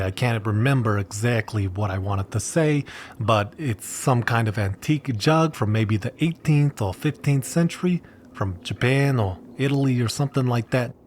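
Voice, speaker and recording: A man's voice: fairly low pitch, a little hiss or hum, natural voice. An actor playing a cocky older man, a breezy, self-satisfied big spender who talks in slangy, boastful rambles.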